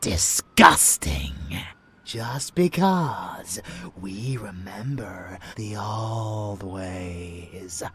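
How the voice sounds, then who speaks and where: Unhinged vampire boyfriend for asmr roleplay, creepy doting, gravelly, swings up and down a lot, whispery and theatrical. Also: male voice, fairly low pitch, a bit bright, a little hiss or hum, heavily acted. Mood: scornful, sinister. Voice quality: husky, gravelly, often dropping to a whisper. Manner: theatrical, savoring words, slow with dramatic pauses. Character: unhinged male vampire lover, menacing, fanatical, doting. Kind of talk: dark romance ASMR audio roleplay.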